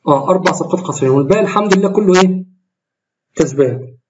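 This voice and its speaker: A man with an Egyptian Arabic accent, voice a little tired and hoarse, talking through his trades in a screen-recorded walkthrough, often breaking off and correcting himself. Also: sits high, rapid-fire, a little mellow, highs cut off, a little hiss or hum. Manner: rambling, self-correcting, explains while trading live. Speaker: trading tutorial host.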